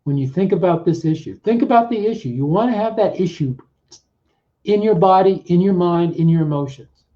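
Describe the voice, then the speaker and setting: A man working as a coach, leading a guided self-help exercise in short, deliberate, repeated phrases with pauses between them. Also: sits high, animated, warm tone. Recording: dull, muffled lo-fi audio.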